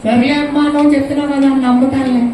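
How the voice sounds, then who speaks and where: A woman voicing a stubborn, sulky little puppet in a children's show, giving short, drawn-out, reluctant replies. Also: high voice, little pitch movement, very noisy, close to an everyday voice. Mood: yielding. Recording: very echoey hall, distant, music and crowd.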